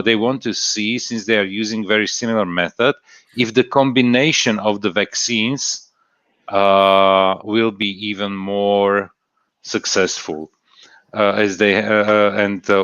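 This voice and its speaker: A man's voice: lowish voice, steady tone, measured pace, a bit bright, no room sound. A man from Slovakia chatting casually on a stream, answering a chat question with many fillers and restarts. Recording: dull lo-fi stream audio.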